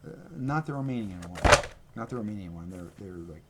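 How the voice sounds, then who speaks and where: A man's voice: fairly low pitch, lively intonation, a little fast, very noisy. A man talking casually to his camera at home, rambling and correcting himself as he shows things. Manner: rambling, self-correcting, chatty. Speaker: video creator. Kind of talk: casual rambling vlog talk. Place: indoors at home. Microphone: camera mic.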